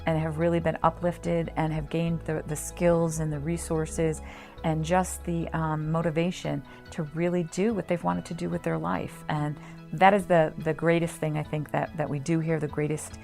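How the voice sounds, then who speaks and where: A woman on staff at a health center, explaining its services in a measured way with frequent pauses, over soft music. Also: low-pitched, little pitch movement, vocal fry. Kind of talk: promotional interview.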